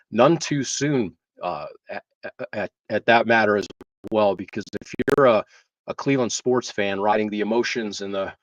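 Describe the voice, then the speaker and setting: A man with a fairly low voice hosts a live talk show. He speaks haltingly, with pauses as he goes. The sound is slightly dull and a bit distant from the mic. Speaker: show host. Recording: dull, roomy, distant microphone.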